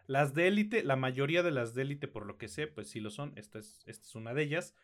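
A man with a Mexican accent and a mid-pitched voice talks through a film review as a podcast co-host. His delivery is conversational and informal, with slang and a habit of working out his thoughts aloud. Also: a little fast, fairly bright tone.

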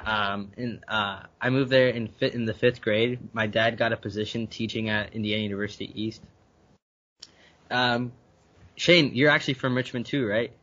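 A man's voice: lowish voice, steady tone, normal speed, fairly bright tone. Earnest young man, slightly muffled. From Guyana. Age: young adult. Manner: earnest, repeats words while thinking. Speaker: university student guest. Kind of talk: conversational interview answers.

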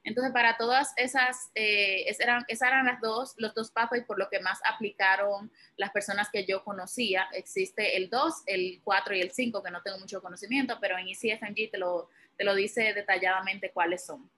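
Young dominican woman, doctor getting interviewed, bright tense voice, chill and chatty, lots of "eh". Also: mid-range voice, moderate pace. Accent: Dominican Spanish. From Dominican Republic. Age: young adult. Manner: relaxed, informal, explains step by step with many fillers. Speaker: guest, medical resident. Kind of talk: interview answers.

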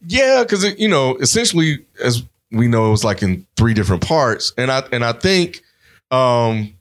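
A man's voice: medium pitch, big pitch swings, normal speed. A man talking casually as a podcast panelist, with slang and swearing in his conversation. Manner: slangy, profane.

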